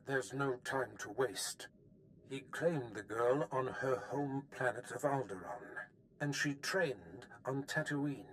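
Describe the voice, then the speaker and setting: Imperious Sith Lord: mid-pitched, theatrical, condescending, cruel game villain. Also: male voice, fairly bright tone, slight background noise, low-quality recording. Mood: urgent, commanding. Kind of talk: video game voice acting.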